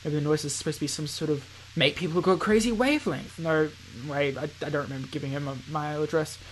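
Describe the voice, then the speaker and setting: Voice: mid-range voice, fast, a little hiss or hum, rough recording. A gaming video host reading a visual novel aloud with playful asides, often stumbling over words and self-correcting.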